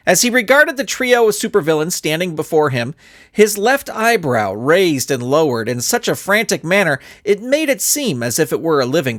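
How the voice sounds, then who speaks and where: Animated male storyteller, highish voice. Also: dry recording, studio quality. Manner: expressive storytelling. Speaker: author and narrator. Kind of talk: scripted storybook narration.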